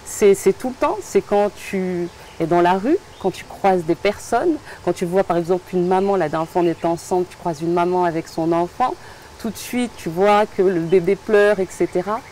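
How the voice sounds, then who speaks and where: A woman hosting an interview, speaking in a warm, admiring way with repeated phrases. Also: on the low side, moderate pace, slight background noise. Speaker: interview host. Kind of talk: interview conversation.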